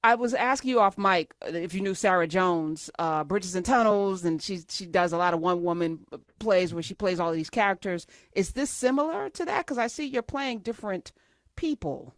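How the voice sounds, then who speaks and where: A woman's voice: medium pitch, expressive, moderate pace, dry recording, studio quality. A woman hosting a talk show interview, asking impassioned, searching questions.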